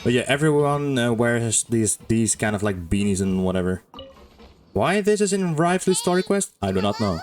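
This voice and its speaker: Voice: medium pitch, expressive, normal speed, soft background music. A game streamer giving casual let's play commentary in a hesitant, rambling way.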